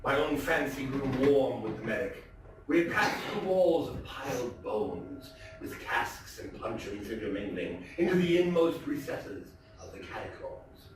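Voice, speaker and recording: A man narrating a gothic story on stage in a deliberate, theatrical style. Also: mid-range voice, expressive. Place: live theatre performance. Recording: very echoey room, distant mic, faint noise.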